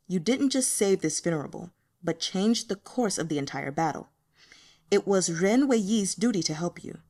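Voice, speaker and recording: A commanding male lord of a fantasy storybook, voiced by a woman reader. He speaks formally and with assurance, protective of his own, and his lines move with expressive, varied intonation. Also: mid-range voice. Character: commanding, protective male cultivation lord, ancient but youthful.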